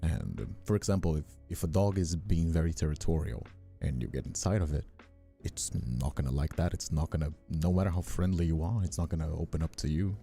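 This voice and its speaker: Very deep, gravelly, dark-voiced man. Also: a little fast, soft background music. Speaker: streamer and voice actor hosting an ASMR livestream. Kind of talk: unscripted ASMR livestream chat.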